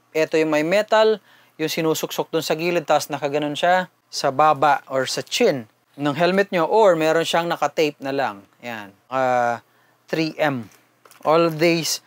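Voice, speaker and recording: A man's voice: a little high, a little slow, no room sound. A man with a Filipino accent doing a casual, conversational unboxing and product review, mixing two languages as he talks informally to viewers.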